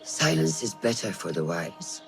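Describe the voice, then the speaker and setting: An actor playing a stern, watchful mentor of assassins in a video game, commanding and sententious, giving measured orders. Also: male voice, mid-range voice, big pitch swings, creaky, faint music behind, full character voice. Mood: stern, instructive. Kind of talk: dramatic game cutscene acting.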